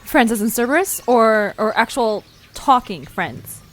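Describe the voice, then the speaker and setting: A woman's voice: a little high, lively intonation, a little slow, a little hiss or hum. A woman playing an eager, inquisitive young adventurer in an audio drama. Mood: excited. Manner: eager, questioning. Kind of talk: scripted audio drama acting.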